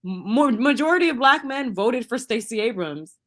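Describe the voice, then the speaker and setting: A woman's voice: on the high side, animated, moderate pace, fairly bright tone. A woman in her twenties, a guest in a casual debate, arguing her point emphatically. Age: 20s. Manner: emphatic, argues with figures.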